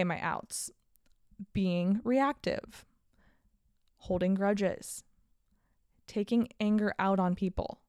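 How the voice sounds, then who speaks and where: A woman's voice: mid-range voice, dark tone, no echo, clean studio sound. A woman in her late twenties hosting a casual solo podcast, talking candidly and upbeat about her year. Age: 20s. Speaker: podcast host.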